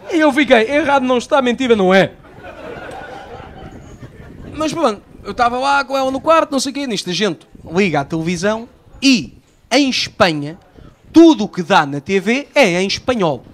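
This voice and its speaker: A man in his thirties with a European Portuguese accent, doing a live stand-up routine on a small outdoor stage at night. He tells animated stories into a handheld microphone and acts out the voices he quotes. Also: high-pitched, a little hiss or hum. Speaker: stand-up comedian.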